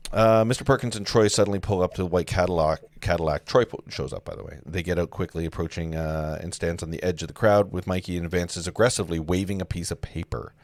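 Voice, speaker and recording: Male host for live script read. Lowish, very lively pitch, informal, amused, quick to interject. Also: dry recording. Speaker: host and stage-direction reader. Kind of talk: reading script aloud with casual asides.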